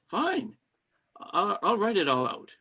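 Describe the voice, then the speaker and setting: An actor in a radio play playing a controlling, secretive saboteur who poses as a kindly cinema owner and gives insistent orders. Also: male voice, high voice, wildly swooping pitch, takes it slowly, creaky, bright, forceful tone, husky, heavily acted. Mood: guarded, suspicious. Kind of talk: British-set radio play acting. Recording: dull lo-fi streamed audio.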